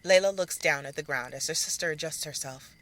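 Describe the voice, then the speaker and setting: A woman's voice: low voice, brisk, fairly bright tone. A woman narrating a scripted audio drama as a dramatic storyteller.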